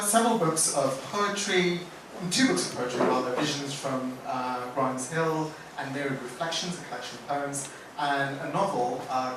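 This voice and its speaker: A man moderating a panel, introducing a guest and posing a question with many fillers and restarts. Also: mid-range voice. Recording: distant mic in echoey hall, noise.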